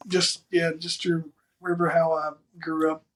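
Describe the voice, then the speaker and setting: A man's voice: fairly high pitch, moderate pace. A man with a Southern accent who hosts a podcast, talking in an earnest way and repeating himself as he gets his words out. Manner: earnest, repeats words, speaks in a steady even tone. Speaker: podcast host. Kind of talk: podcast interview.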